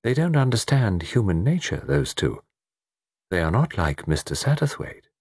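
Dreamy, knowing mystery gentleman played by a male narrator: measured, lively melody, very dry close sound. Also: on the low side, breathy edge, dry recording, voice pushed up, exaggerated voice. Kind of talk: audiobook character dialogue.

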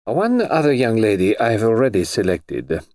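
A man putting on a crisp, formal foreign gentleman. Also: lowish voice, big pitch swings, normal speed, no echo, clean studio sound, voice pushed up. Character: brisk mysterious foreign gentleman, middle-aged man.

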